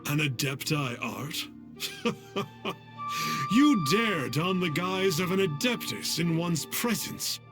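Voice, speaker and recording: A man's voice: fairly high pitch, heavily acted. A man playing a pompous, easily cowed fake immortal exorcist in a video game, with theatrical, stilted, archaic speech. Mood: pompous, haughty. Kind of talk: video game character acting. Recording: background music.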